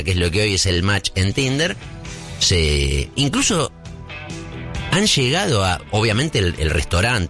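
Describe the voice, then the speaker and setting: A man in his forties with a Buenos Aires accent, delivering a casual, rambling comic monologue as if thinking out loud. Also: sits low. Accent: Rioplatense Spanish, Buenos Aires. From Buenos Aires, Argentina. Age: 40s. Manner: rambling, conversational, seeks agreement with tag questions.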